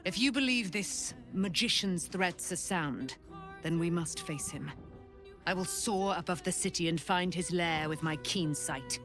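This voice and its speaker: A woman's voice: lowish voice, a little slow, music in the background, close to an everyday voice. A woman playing a proud, righteous warrior dame in a fantasy video game, with lofty, formal, declamatory delivery. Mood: resolute.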